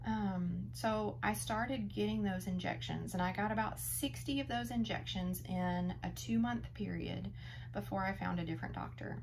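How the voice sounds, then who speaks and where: A woman's voice: mid-range voice, fairly even intonation, normal speed, creaky, a little hiss or hum. A woman in her thirties telling her own chronic pain story to the camera in an earnest, empathetic way. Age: 30s. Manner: earnest and empathetic, speaks directly to the viewer. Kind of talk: heartfelt personal testimonial.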